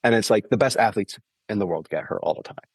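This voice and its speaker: A man's voice: fairly low pitch, quick pace, a little mellow, slightly rough, dry recording. A man recovering from the flu, sounding congested, explaining running injuries patiently on a podcast and often checking in with "okay?". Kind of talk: casual explanatory podcast talk.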